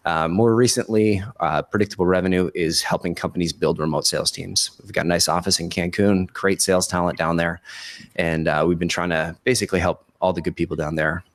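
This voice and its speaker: A man hosting a webinar podcast in a casual, chummy way, his voice raspy from a cold. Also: low-pitched, dry recording. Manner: informal, chummy address. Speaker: podcast host.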